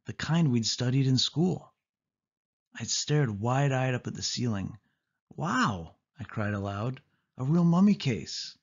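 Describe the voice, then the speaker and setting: Guy narrating a suspense audiobook, dramatic and expressive, avg pitch, kinda muffled lo-fi recording. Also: breathy edge. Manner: expressive, suspenseful delivery with acted exclamations. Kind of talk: dramatic storybook narration in first person.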